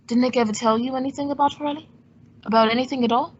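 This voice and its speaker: Woman as male sleuth hero, quick-witted, composed, old-time radio drama, husky, muffled recording. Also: high-pitched, fairly even intonation. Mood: pressing, urgent. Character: Simon Templar, cool, quick-witted male adventurer sleuth. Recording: dull lo-fi audio.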